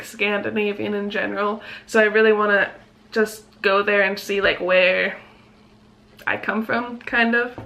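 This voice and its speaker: A woman's voice: medium pitch, a bit bright. A young woman from Mexico chatting casually in a vlog, reading out viewer questions and answering them, with lots of "like" fillers. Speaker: vlogger.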